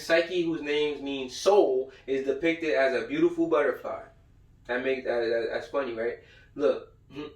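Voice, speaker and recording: A man giving an informal lecture, reading passages aloud and commenting in blunt, profane slang, repeating himself for emphasis. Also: a little high. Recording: roomy echo, far from the mic.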